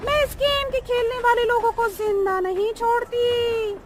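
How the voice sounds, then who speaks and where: A woman's voice: very high voice, steady tone, measured pace, voice pushed up. A woman voicing a fierce, murderous witch spirit in a horror cartoon story, menacing and threatening. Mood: cruel, threatening.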